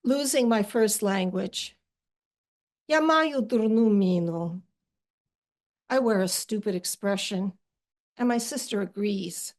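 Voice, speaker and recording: Middle-aged female poet, hesitant conversational delivery, slow deliberate reading of poems. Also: medium pitch, a little mellow. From Ukrainian-American family.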